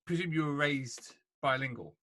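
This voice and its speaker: A man hosting a podcast, asking his guest questions in a halting way, restarting as he goes. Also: a little high, a bit bright. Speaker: podcast host. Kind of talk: casual interview questions.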